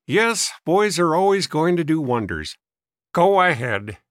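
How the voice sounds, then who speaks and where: A man voicing an irritable, suspicious old gentleman in an audiobook, testy and scoffing. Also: on the high side, sing-song, measured pace, dry recording, studio quality, pitched above the performer's own voice. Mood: dismissive. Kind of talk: audiobook character voice.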